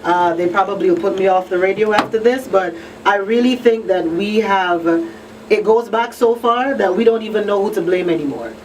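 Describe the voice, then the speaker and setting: A woman hosts a live radio discussion in a conversational, emphatic way. She repeats key phrases and often checks that she is understood. Her medium-pitched voice sits a little away from the mic, with faint noise behind it. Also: moderate pace, darkish tone, distant mic, rough recording. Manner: conversational, emphatic repetition, frequent rhetorical check-ins. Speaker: radio talk show host. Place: radio talk show broadcast.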